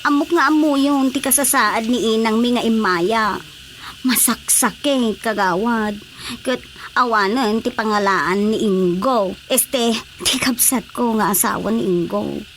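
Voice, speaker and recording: A woman playing a pleading, complaining village woman with an Ilocano accent in a comic radio drama, plaintive and drawn-out. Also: on the high side, slight background noise.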